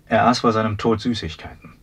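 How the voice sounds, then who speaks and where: An actor playing a matter-of-fact forensic examiner with a terse, clinical manner in a radio drama. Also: male voice, fairly low pitch, fairly even intonation, a little fast, fairly bright tone, husky. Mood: dry, clinical. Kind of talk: 1970s German radio drama acting.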